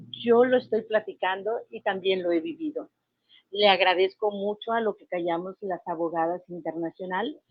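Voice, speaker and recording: A woman's voice: medium pitch, no room sound. Woman lecturing, dry dull audio. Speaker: guest lecturer, lawyer. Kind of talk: informal lecture.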